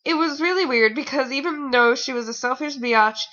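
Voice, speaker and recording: Young woman, high bright voice, amateur fan fiction reader, theatrical, rambling, exasperated, slightly tired. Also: moderate pace, highs cut off. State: tired, sore nose. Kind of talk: mocking amateur reading with casual asides.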